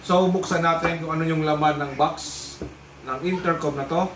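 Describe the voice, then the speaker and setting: Echoey, higher-voiced Filipino man reviewing gear. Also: steady tone, moderate pace. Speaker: vlogger reviewing a motorcycle intercom. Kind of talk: casual product unboxing review. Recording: echoey room, distant mic, faint noise.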